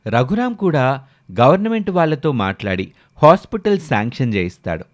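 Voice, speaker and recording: A man's voice: mid-range voice, sing-song, normal speed. A man narrating a scripted story as the storyteller.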